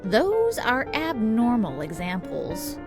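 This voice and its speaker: A woman's voice: fairly high pitch, huge pitch swings, slow pace, music in the background, exaggerated voice. A woman playing a cheeky, snide young agency underling in a visual novel game, casual and sly.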